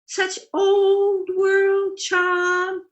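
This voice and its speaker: A ditzy, dreamy sitcom wife in a very high, girlish voice. She gushes innocently and takes her time, in old-time radio comedy style with a roomy echo. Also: completely flat delivery, very slow, exaggerated voice. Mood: dreamy, giggly. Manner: naive, gushing, innocent delivery of absurd remarks. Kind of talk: re-enacted 1940s radio comedy acting. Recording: roomy, echoing voice recording.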